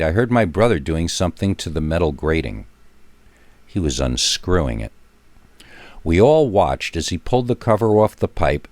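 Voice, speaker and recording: Male horror storyteller, very low voice, first-person and dramatic, with a wry conversational streak. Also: no echo. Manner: wry, conversational asides to the listener.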